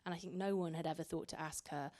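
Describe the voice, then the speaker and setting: A woman's voice: low voice, fairly even intonation, fast, dark tone. A young woman raised in Italy, an author speaking reflectively at a library panel, often repeating words as she thinks. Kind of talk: panel talk. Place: library event room.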